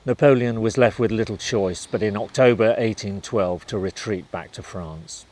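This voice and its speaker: A man's voice: on the low side, moderate pace, a little mellow. A man reading scripted narration for a historical documentary.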